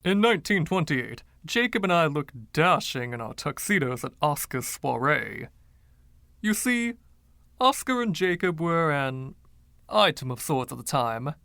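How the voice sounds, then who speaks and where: A man's voice: a little high, sing-song, unhurried, bright, forceful tone, no echo, studio quality, full character voice. A man voicing a boastful, giggly 1920s dandy in a storybook reading, with a silky voice and theatrical, teasing old-fashioned slang. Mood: boastful, delighted.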